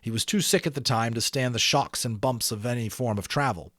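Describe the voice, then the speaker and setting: A man reading a children's story aloud in an expressive, theatrical manner, speaking directly to listeners. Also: mid-range voice, a little fast, no room sound, clean studio sound. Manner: theatrical, engaging listeners with questions. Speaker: audiobook reader.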